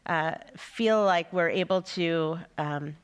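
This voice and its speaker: Rabbi hosting a stage interview, smooth resonant voice, halting questions. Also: medium pitch. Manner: searching, halting with fillers. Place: synagogue hall, live audience.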